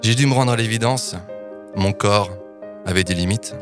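Chroniqueur trentenaire à la voix grave, sur fond musical. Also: male voice, fairly low pitch, normal speed, music in the background. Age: 30s. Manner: playful, informal, mock-dramatic. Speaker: podcast columnist. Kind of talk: scripted humorous narration.

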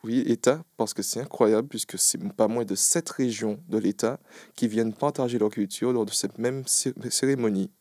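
A man's voice: lowish voice, moderate pace, darkish tone, no echo, studio quality. A man giving his events segment on a casual radio show, laughing often and tripping over his words.

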